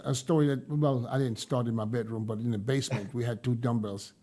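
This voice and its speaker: Mellow-voiced man hosting a casual interview. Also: medium pitch, steady tone, quick pace. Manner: repeats words as he forms questions. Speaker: podcast host interviewer.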